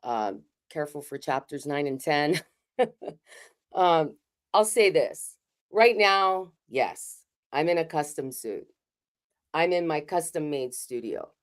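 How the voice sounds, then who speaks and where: Middle-aged woman from Hawaii, low-pitched, raw emphatic keynote speaker. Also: expressive, slow pace. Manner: candid, conversational, emphatic. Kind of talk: raw personal keynote talk. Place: home studio. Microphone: video call.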